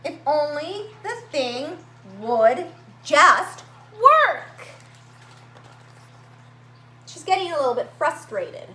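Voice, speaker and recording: A woman reading a picture book aloud to young children, with expressive, dramatic emphasis and drawn-out words. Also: high-pitched. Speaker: children's story-time reader at a library. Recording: roomy echo, faint background noise.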